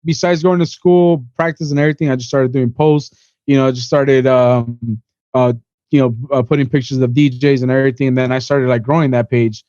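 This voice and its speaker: Smooth-voiced young man telling stories casually. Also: mid-range voice, fairly even intonation, a little fast, dark tone, dry recording, muffled recording. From Miami, USA. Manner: rambling, peppered with "you know" and "bro". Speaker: podcast guest, event organizer. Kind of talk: casual storytelling chat.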